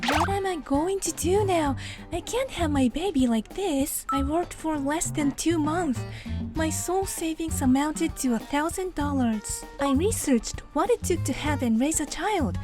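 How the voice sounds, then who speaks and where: High-pitched earnest young single mother played by an actress. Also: lively intonation, measured pace. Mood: troubled. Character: Mimi, earnest teenage girl turned single mother. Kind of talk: scripted first-person narration of a dubbed manga story. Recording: music behind the voice. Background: music.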